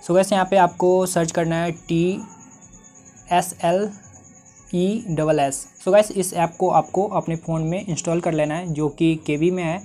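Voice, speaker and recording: A man in his twenties with an Indian accent hosts a step-by-step tutorial. His voice is soft-edged and mellow. He talks straight to his viewers through a lapel mic. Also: on the high side, steady tone, measured pace, soft background music. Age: 20s. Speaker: tech tutorial host.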